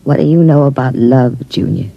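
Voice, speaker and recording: An actress playing a nervous, guarded gangster's moll in an old-time radio drama. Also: female voice, rumbling low, moderate pace, vocal fry, lo-fi sound, heavily acted. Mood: wary, guarded. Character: jittery, wary gangster's moll, uneasy and evasive.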